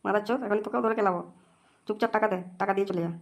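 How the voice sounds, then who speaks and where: A woman's voice: medium pitch, fairly even intonation, very fast, lightly acted. A woman voicing a bullying, foul-mouthed young club gangster in an amateur comedy cartoon, slangy and threatening.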